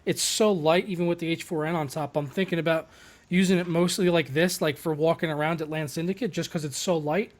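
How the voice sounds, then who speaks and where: Fast-talking excitable man. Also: a little high. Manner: fast, excitable, swears, repeats words. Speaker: host. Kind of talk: casual tech chat.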